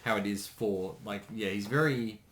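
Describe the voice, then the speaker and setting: A man co-hosting a casual commentary, easygoing and agreeable with quick asides. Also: lowish voice. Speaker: co-host of a creator commentary.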